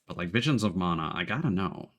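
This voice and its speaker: A man's voice: sits low, expressive, fast, fairly bright tone. A man live-streaming games, chatting casually to viewers and thinking aloud in informal gamer slang with some swearing, on a close desk microphone. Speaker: live streamer, let's play host. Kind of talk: casual chat with viewers while setting up a game stream. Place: indoors at a computer.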